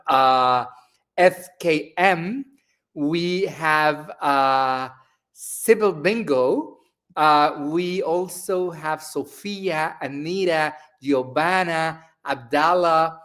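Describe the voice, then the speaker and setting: Cheery guy hosting a livestream, slightly high voice, playful. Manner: upbeat, playful, addresses viewers. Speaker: event host. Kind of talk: live event hosting.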